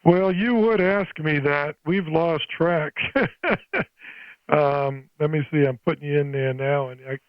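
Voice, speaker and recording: A man's voice: a little high, slow, dry recording, dull audio. An older man chatting casually as an amateur radio operator, folksy and scattered, thinking aloud as he talks. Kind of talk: casual two-way radio chat.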